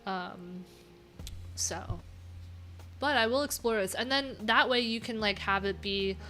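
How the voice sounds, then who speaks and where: A woman's voice: medium pitch, a little slow, pushed and bright, soft background music. A woman live streaming, chatting informally and thinking aloud in a rambling, unfiltered way. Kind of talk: casual live tech stream commentary.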